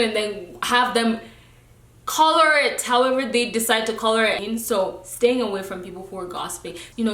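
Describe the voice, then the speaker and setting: A woman's voice: on the high side, fairly bright tone. A young woman vlogger giving a candid, emphatic talk about friendships, sometimes preachy and breaking into laughter. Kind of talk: candid casual rant to camera. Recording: echoey room.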